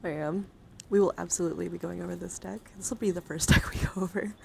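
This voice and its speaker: A woman's voice: lowish voice, fairly even intonation, fast, creaky, very noisy. A woman livestream host chatting casually, rambling and swearing freely as she shows off a collection. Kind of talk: casual livestream chat showing a card collection. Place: indoors, livestream with handheld camera.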